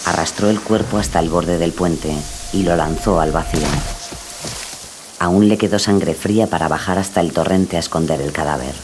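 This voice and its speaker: A man's voice: low-pitched, moderate pace, noisy background. A man giving scripted, dramatic true-crime narration.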